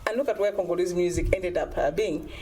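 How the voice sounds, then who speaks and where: A woman's voice: on the low side, fast talker, a little mellow, breathy edge, low-quality recording. Earnest woman guest advocating for music. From Zambia. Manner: earnest, persuasive, frequent "you know" fillers. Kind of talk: interview answers.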